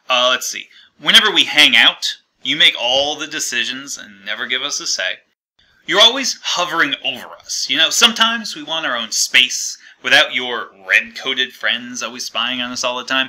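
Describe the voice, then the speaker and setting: A man's voice: mid-range voice, sharp tone, low-quality recording. A man playing the American colonies as an awkward, fed-up partner leaving a breakup voicemail in a hesitant, conversational, aggrieved way. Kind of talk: comedic monologue as a breakup voicemail.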